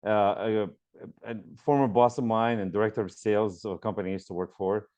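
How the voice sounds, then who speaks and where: A man's voice: lowish voice, fairly even intonation, brisk, a little mellow. A man from Lebanon, a mortgage broker guest, answering interview questions earnestly with many ums and uhs over a remote call. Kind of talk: conversational interview.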